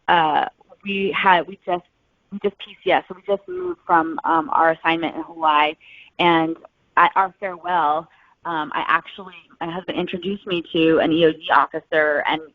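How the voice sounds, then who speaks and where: A woman's voice: lowish voice, dry recording. Woman guest on a phone-like line. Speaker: podcast guest, novelist. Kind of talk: casual interview chat. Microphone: phone or online call. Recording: tinny phone-like line, dry.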